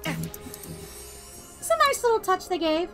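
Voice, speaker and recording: A woman's voice: extremely high pitch, wildly swooping pitch, takes it slowly, gravelly, a bit bright, soft background music. A woman streamer giving exasperated, ranting commentary while playing a game. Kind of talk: let's play commentary.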